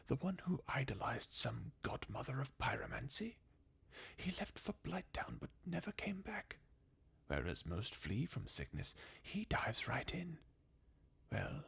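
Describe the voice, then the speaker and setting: Someone voicing a husky, whispery, jaded undead warrior. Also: mid-range voice, a bit bright, full character voice. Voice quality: breathy, husky half-whisper with creak. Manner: sardonic, weary, chuckling bleakly. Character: jaded, mocking undead warrior, sardonic and defeatist. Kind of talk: fan redub of video game dialogue, ASMR-like.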